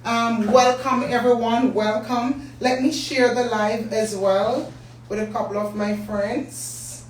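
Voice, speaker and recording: Hoarse Jamaican woman hosting slowly. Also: on the high side, steady tone. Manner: warm, chatty, greets viewers by name. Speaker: business talk show host. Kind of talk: casual live-stream talk. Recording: echoey room, distant mic, faint hum.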